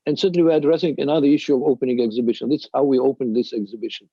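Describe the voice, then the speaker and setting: An older man with a Russian accent gives a halting, emphatic presentation as a museum director, in non-native English. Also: mid-range voice, fairly even intonation, dark tone, slightly rough, no echo, muffled recording. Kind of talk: extemporaneous panel presentation.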